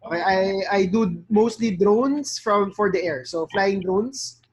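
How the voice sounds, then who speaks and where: A man's voice: high voice, slow, background noise. Man on a video call. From Philippines. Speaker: drone maker. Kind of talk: casual video call introduction.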